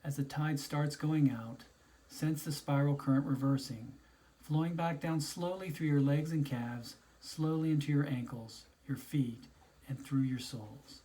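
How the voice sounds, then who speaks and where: Male guided-imagery narrator. Dark, relaxed tone, soothing instructional read with spaced phrases. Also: fairly high pitch, poor audio. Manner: slow, soothing, instructional delivery with pauses between phrases. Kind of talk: guided meditation, scripted narration.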